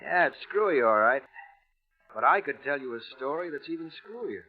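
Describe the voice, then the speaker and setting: An actor playing a plain-spoken American Navy veteran, colloquial and confiding, spinning a yarn in a 1940s radio drama. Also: male voice, fairly high pitch, animated, normal speed. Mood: cagey, confiding. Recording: tinny vintage radio recording, music behind.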